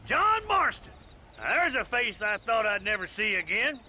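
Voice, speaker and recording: An actor playing a hearty, wordy, grandiloquent American ranch owner in a video game. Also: male voice, high voice, very animated, normal speed, noisy background. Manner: effusive, wordy.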